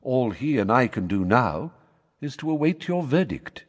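A measured, courteous old wizard, as a male audiobook reader voices him, polite but firm. The voice is husky and lively in pitch, with long reverb around it. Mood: cool.

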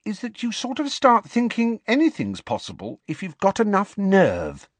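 A man voicing a thoughtful, caring teenage girl in a storybook, gentle but persistent. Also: high-pitched, huge pitch swings, normal speed, dry recording, pitched above the performer's own voice, full character voice.

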